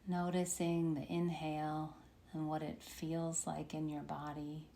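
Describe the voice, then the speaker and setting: A woman leading a guided meditation in a soothing, unhurried manner with long pauses. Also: deep voice, little pitch movement, dark tone. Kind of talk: guided meditation, body scan. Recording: faint background noise.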